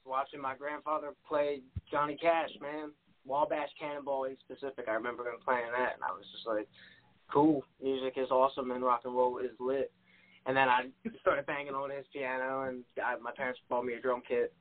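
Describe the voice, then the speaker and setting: A man's voice: mid-range voice, level tone, brisk. A twenty-year-old man, a rock guitarist, answering interview questions in a laid-back, chatty way. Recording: tinny phone-like sound.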